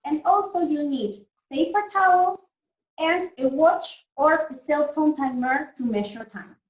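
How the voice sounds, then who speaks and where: Echoey, high-voiced woman teaching young children. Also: measured pace. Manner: simple explanations with frequent check questions. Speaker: primary school teacher leading an online lesson. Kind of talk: interactive lesson for children, reading and explaining. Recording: echoey room, distant muffled mic.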